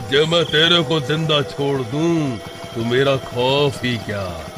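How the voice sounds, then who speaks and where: A man voicing a menacing, gloating two-headed ghost rickshaw driver in a horror cartoon story, slow and threatening, given to evil laughter. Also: fairly high pitch.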